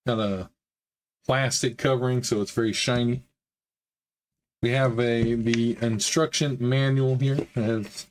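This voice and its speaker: A man with a middling voice, a little hoarse, who talks viewers through a hobby build. He rambles easily and drops in plenty of "uh"s. The delivery is relaxed and unscripted. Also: medium pitch. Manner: easygoing, rambling, frequent "uh" fillers. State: slightly hoarse, recovering from a chest illness. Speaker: hobby model-building channel host. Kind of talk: casual build vlog narration.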